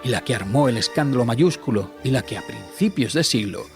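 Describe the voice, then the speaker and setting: An actor playing a wry, grandiloquent storyteller who introduces a period comedy in scripted radio drama narration. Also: male voice, medium pitch, expressive, fairly quick, close to an everyday voice. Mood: wry, storytelling. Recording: music under the narration.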